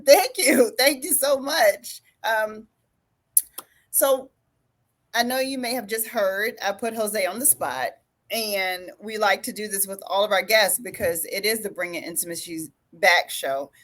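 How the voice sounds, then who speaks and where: A woman hosts a podcast interview in an upbeat, friendly way. Her voice sits at a mid pitch. She laughs easily between her questions. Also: unhurried, a bit bright. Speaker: podcast host. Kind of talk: casual interview.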